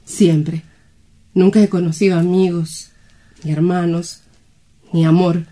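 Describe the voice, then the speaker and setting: A woman's voice: lowish voice, fairly even intonation, slow pace, mellow. A woman acting a frightened, desperate young woman worn down by loneliness, in dramatic radio-play style. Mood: bitter, sorrowful.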